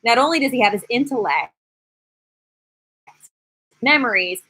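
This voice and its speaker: A woman in her thirties chatting casually to viewers on a live stream, candid, animated and rambling, speaking on a screened porch. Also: fairly high pitch, unhurried, slightly rough, poor audio. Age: 30s. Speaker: YouTube host, former teacher.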